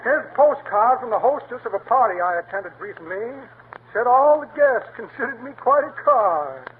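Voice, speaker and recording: Pompous high-voiced blowhard con man, 1940s radio comedy, lively swooping delivery, tinny old broadcast. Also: moderate pace, noisy background. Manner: grandiloquent, punning, mock-tragic. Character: pompous blustering middle-aged male con man.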